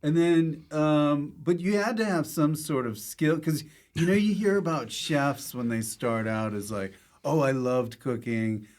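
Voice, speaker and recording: A man's voice: fairly high pitch, lively intonation, unhurried, hardly any pauses. A man hosting a podcast in a casual chat, dropping in short, dry remarks. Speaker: podcast host.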